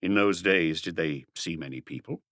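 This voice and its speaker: Man playing a low-voiced, measured police inspector. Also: fairly bright tone, no room sound, clean studio sound. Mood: calm, inquiring. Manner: terse, measured, businesslike. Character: calm, authoritative male police chief inspector questioning. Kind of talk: audiobook character dialogue.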